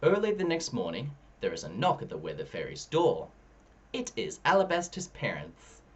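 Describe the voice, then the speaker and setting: A man reading a children's story aloud expressively, shifting his pitch between narration and dialogue. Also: huge pitch swings, normal speed, breathy edge. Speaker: storybook reader.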